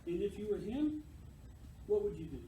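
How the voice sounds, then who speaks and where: A man's voice: high-pitched, sing-song, normal speed, dark tone, muffled recording. Man acting defensive Judas, roomy and distant. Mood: defiant, challenging. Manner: declamatory, rhetorical questioning. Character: Judas Iscariot, defensive, self-justifying disciple of Jesus. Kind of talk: church stage monologue. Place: live church performance, distant microphone. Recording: roomy, distant, noisy live church recording.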